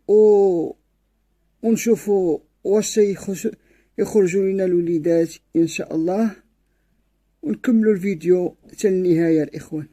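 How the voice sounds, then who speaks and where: A man's voice: high voice, mellow. A man with a Moroccan Arabic accent, giving casual how-to commentary to viewers in a bird-keeping video. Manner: quick, repetitive, addresses viewers as brothers.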